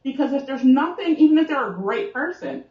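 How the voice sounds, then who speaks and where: A woman's voice: high voice, expressive, a little fast, reverberant, recorded from a distance, dull audio. A woman guest, a success coach, explaining her ideas in a casual chat and often checking in with "right?".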